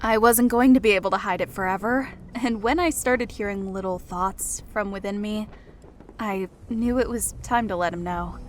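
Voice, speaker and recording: A woman's voice: mid-range voice, moderate pace, a little hiss or hum, natural voice. A woman playing a playful, easygoing pregnant woman in a fantasy audiobook, chatting in a casual, gossipy way. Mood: confiding. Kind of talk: fantasy audiobook dialogue over music.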